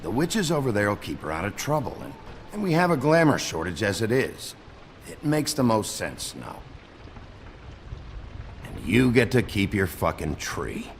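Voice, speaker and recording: A world-weary, commanding sheriff for a noir game. His voice is on the low side with a lively range, and his delivery is blunt and terse. Faint rain ambience is behind him. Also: normal speed, slight background noise. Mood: wry, decisive. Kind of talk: noir video game voice acting.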